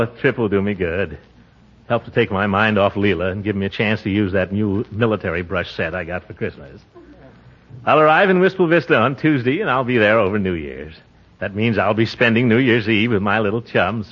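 Pompous jolly middle-aged man, bit husky, chuckly, old 40s radio comedy, muffled sound. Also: lowish voice. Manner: chuckling, talking aloud to himself. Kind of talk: 1940s radio comedy acting. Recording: muffled vintage 1940s radio recording.

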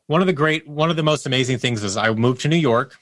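A man's voice: medium pitch, fast talker, no room sound, clean studio sound. A man speaking as an interview guest, telling personal stories candidly and reflectively, often voicing his inner thoughts as quotes. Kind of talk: candid personal storytelling in conversation.

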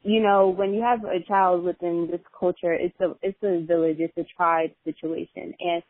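A woman with a lowish voice talks as a podcast guest, reflective and pausing to restart her thoughts. The sound is thin and phone-like. The room is dry. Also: level tone, dry recording. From Nigeria (family), raised in America. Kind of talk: casual chat.

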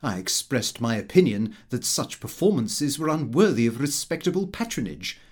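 A man reading a comic first-person diary as an audiobook, in a prim, expressive, self-important manner. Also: medium pitch, moderate pace, breathy edge. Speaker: audiobook narrator.

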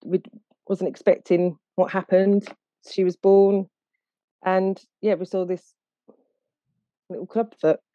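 Essex woman chatting as a guest, soft mellow sound, bit halting, really dry room. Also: mid-range voice, flat delivery, no room sound, muffled recording. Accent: Essex English. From Essex, England. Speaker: podcast guest, charity founder. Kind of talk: casual conversational interview.

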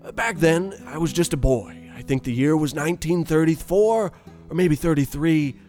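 A man playing a nostalgic, oblivious, privileged old man who reminisces in a genteel, unhurried, folksy radio storytelling style. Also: a little high, darkish tone, natural voice. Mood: fond nostalgia. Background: plucked guitar music.

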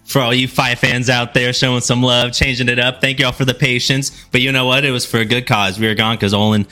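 A man's voice: mid-range voice, flat delivery, brisk, pushed and bright, faint music behind. A man co-hosting a casual sports podcast, enthusiastic and full of slangy hype-man talk. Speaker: podcast co-host.

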